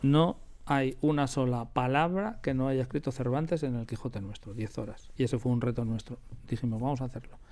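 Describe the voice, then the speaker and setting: Mellow Castilian-accented man, conversational. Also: mid-range voice, brisk, hardly any pauses. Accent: Castilian Spanish. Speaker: radio drama creator, interviewee. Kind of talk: conversational interview answers. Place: radio drama studio.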